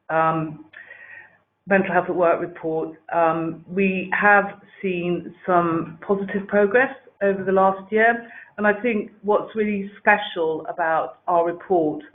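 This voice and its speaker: A woman's voice: medium pitch, steady tone, unhurried. A woman speaking as a wellbeing director, giving an earnest, fluent webinar presentation with frequent ums. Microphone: video call. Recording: tinny webinar audio.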